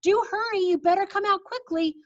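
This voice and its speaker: A woman's voice: very high-pitched, fairly quick, highs cut off, voice pushed up. A woman voicing a cool, affectionate old storybook grandmother with a sing-song, chatty way of talking. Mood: urgent calling.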